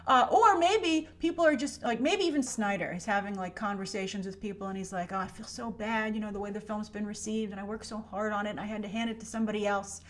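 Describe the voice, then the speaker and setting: A woman's voice: medium pitch, fast, few pauses. A woman hosting an online film commentary show, speaking in an emphatic, conversational way and often checking in with rhetorical questions. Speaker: YouTube host and film commentator. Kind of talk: opinionated commentary to camera.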